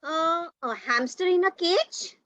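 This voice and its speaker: Woman reading as a bright-voiced, high, eager young boy. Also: animated, no echo, exaggerated voice. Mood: eager, pleading. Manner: slow, careful, halting reading. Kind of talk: reader's theatre read-aloud.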